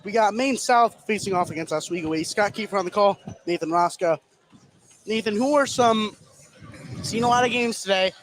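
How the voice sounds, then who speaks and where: A man hosting and calling a live high school basketball game as play-by-play commentator. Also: moderate pace, a bit bright, a little hiss or hum.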